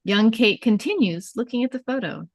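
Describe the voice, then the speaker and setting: A woman's voice: fairly high pitch, lively intonation, normal speed, no echo, clean studio sound. A woman narrating a script at a table read, reading out scene headings and stage directions.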